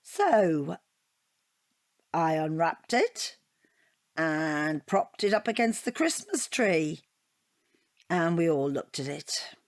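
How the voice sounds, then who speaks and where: An actress playing a chatty, defensive middle-aged British divorcee in an audio comedy drama, rambling and self-justifying with a wry edge. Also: female voice, deep voice, big pitch swings, takes it slowly, pauses often, no room sound, studio quality, exaggerated voice. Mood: wry, reminiscing.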